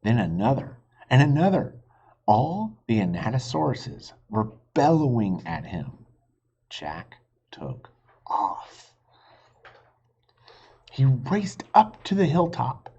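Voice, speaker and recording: Man, slow storybook read-aloud, very lively intonation, husky, slightly dull recording. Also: medium pitch. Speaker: reader, teacher.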